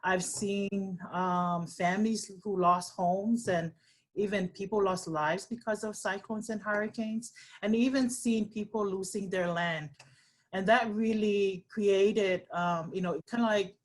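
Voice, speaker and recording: A woman from Samoa, a guest on a webinar interview over a video call, talking earnestly with many pauses and restarts. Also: medium pitch, fairly even intonation, rough recording.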